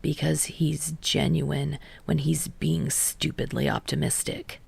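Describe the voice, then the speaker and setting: A woman voicing a sardonic, grudging young man in a fan fiction audiobook, muttering in a terse, halting deadpan. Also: dry recording, heavily acted. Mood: reluctant, defensive. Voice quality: low, muttering, breathy.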